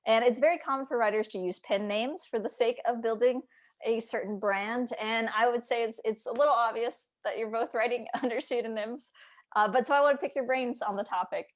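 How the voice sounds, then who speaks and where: Woman interview host, slightly high voice, presenter introductions and questions. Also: a little fast. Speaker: host, editor and YouTuber.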